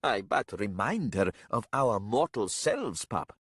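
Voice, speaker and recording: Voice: mid-range voice, sing-song, slow, fairly bright tone, husky, no room sound, studio quality, pitched above the performer's own voice, heavily acted. A man voicing a sly, grumbling old warrior with theatrical, sneering archaic speech in a story. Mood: teasing.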